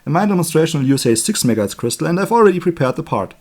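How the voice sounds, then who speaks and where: Male electronics hobbyist, native German with German accent, voice a bit higher than average. Informal demo delivery, halting and talkative while working. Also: very animated, darkish tone. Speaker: electronics hobbyist demonstrating a circuit build. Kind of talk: informal hands-on tutorial, explaining while working.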